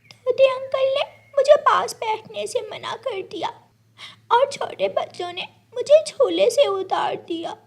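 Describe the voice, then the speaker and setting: A woman's voice: extremely high pitch, unhurried, pitched above the performer's own voice. A woman voicing a sad, innocent young girl bird in a cartoon, pleading childishly. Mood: upset.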